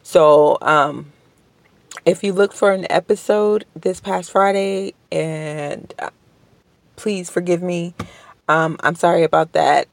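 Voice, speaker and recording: A woman hosts her podcast alone from home, her voice strained because she is under the weather. She talks slowly and politely, with lots of hesitations and restarts, in a gravelly voice with vocal fry. The sound is dry and close to the mic. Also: on the low side, steady tone, no echo. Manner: slow, hesitant, many ums and restarts; polite and apologetic. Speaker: podcast host. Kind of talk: casual solo podcast monologue. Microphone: home podcast microphone.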